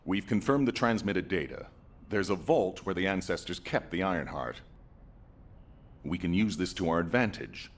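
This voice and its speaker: An actor playing an authoritative, businesslike commander in a video game, giving decisive, commanding delivery. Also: male voice, deep voice, animated. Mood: urgent, commanding. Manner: commanding, brisk.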